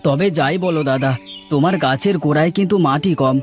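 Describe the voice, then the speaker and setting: A man voicing a gentle, earnest young village farmer in a cartoon folk tale. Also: on the high side, quick pace, soft background music, close to an everyday voice. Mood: friendly, earnest.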